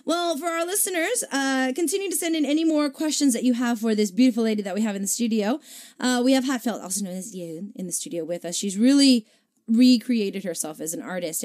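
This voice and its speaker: A woman hosting a chatty radio interview in a studio, enthusiastic and quick to react with admiration. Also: on the high side, darkish tone. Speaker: radio host interviewing a musician.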